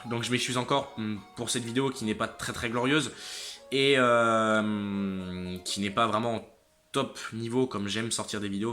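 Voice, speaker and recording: Late-teen male vlogger, exhausted and a bit congested, with a tense, bright tone. Halting delivery that trails off and restarts. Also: fairly low pitch. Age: late teens. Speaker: motorcycle channel host. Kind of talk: casual vlog update to viewers.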